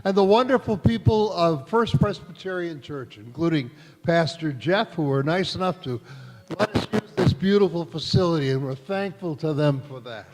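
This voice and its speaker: A man as radio announcer, reading closing credits in a theatrical, measured way to a live audience. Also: a little high, animated. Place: church used as a live theatre. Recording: echoey hall, noisy audience.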